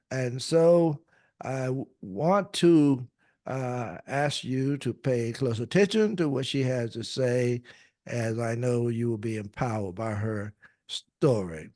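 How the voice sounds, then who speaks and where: A man hosting a podcast interview, talking slowly with many fillers and restarts. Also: mid-range voice, a little mellow, no echo, clean studio sound. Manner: slow, hesitant, many fillers. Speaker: podcast host.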